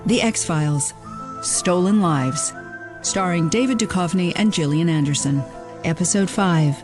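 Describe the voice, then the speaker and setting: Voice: medium pitch, a little slow, music in the background. An announcer reading scripted titles for an audio drama.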